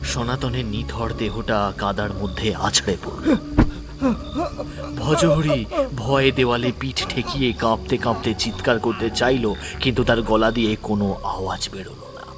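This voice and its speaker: A man's voice: medium pitch, moderate pace. A man narrating a horror audio story dramatically, sometimes dropping to a whisper. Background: music.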